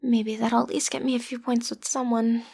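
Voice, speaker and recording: A woman's voice: a little high, flat delivery, moderate pace, creaky, a little mellow. A woman playing a cute, easily flustered teenage villain's daughter in an audio roleplay, stammering earnestly as she tries to seem intimidating. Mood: awkward, earnest.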